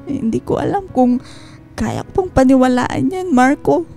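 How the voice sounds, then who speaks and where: High-voiced hurt, jealous wife. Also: female voice, lively intonation, gravelly, mellow, heavily acted. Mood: wounded, doubtful. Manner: emotional, halting, accusing. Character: hurt, jealous wife confronting her husband. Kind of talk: radio drama acting. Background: background music.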